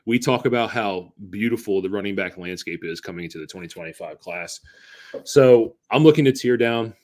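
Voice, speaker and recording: A man's voice: lowish voice, a little fast, a little mellow. A man co-hosting a casual sports podcast, making his points emphatically and repeating them for stress. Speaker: podcast co-host.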